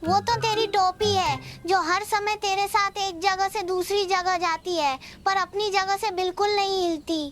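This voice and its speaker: Squeaky, cheeky cartoon sidekick. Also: female voice, steady tone, a little slow, hardly any pauses, noisy background. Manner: cheeky teasing. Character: cheeky squeaky young sidekick, loyal and mocking. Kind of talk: children's cartoon voice acting.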